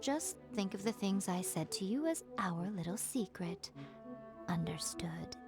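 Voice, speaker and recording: Sly priestess, self-assured, playful, knowing, video game, clear music behind. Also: female voice, medium pitch, very animated, measured pace. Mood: veiled threat. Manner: playful, knowing, smooth-talking. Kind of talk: scripted video game voice acting.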